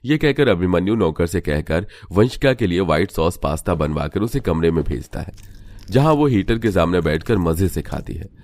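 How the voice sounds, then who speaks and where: A man's voice: low-pitched, lively intonation, normal speed, a little mellow, dry recording. A man reading scripted storybook narration for a cartoon.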